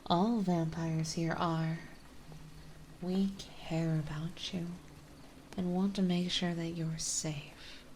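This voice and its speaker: Woman playing a slow, hushed vampire mistress. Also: lowish voice, steady tone, slight background noise. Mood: calm, reassuring. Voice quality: soft, breathy, often dropping into whispers. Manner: soothing, coaxing, slow and deliberate. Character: gentle, possessive, dominant female vampire mistress. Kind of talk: ASMR roleplay.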